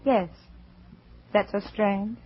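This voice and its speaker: A woman's voice: medium pitch, fairly even intonation, extremely slow. An actress playing a cool, scheming American wife in an old radio suspense drama, coy and sweetly menacing as she mocks and pressures. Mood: amused, calculating. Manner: coy, silky, mocking. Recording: muffled vintage radio recording.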